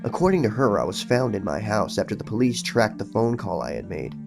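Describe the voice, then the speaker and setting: A man's voice: lowish voice, very animated, a little fast, vocal fry, music in the background. A man reading a horror story aloud in a dramatic, suspenseful storytelling manner. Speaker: horror story narrator and channel host. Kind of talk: dramatic creepypasta reading, then casual recap.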